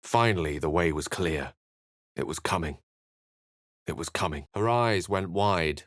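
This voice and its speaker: A man's voice: low voice, unhurried, fairly bright tone, no echo, clean studio sound. A man voicing an eloquent, courtly wizard companion in a fantasy video game, speaking formally and theatrically. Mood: grave, haunted. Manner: articulate, formal, theatrical phrasing. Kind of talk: fantasy video game voice acting, dramatic narration and dialogue.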